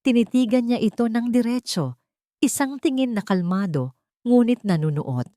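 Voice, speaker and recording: A woman's voice: on the high side, expressive, normal speed, dark tone, no room sound, clean studio sound. A woman reading scripted dramatic narration as a storyteller.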